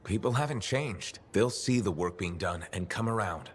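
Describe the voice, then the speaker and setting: An actor playing a steady, frank, reassuring freelancer hero in a video game, speaking in a plain conversational way. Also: male voice, fairly low pitch, moderate pace, natural voice. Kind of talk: video game dialogue acting. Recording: clear ambient noise behind the voice.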